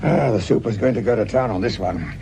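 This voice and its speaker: An actor playing a grumbling, sardonic, corner-cutting British police sergeant in a radio drama, full of world-weary asides. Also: male voice, on the low side, animated, a little fast, vocal fry, warm tone, slight background noise.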